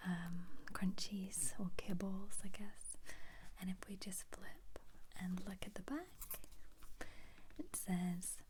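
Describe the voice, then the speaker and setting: A woman whispering in a gentle, breathy, chatty ASMR style, as if showing someone through a book. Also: fairly low pitch, slight background noise. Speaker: ASMR video host. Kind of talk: soft-spoken ASMR book flip-through.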